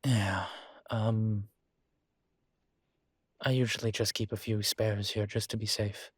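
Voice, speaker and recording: A man playing a vain, seductive yet politely awkward incubus demon professor in an intimate audio roleplay, speaking hesitantly with drawn-out phrasing. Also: fairly low pitch, little pitch movement, husky, no room sound, studio quality. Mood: apologetic. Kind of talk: intimate audio roleplay, one-sided conversation.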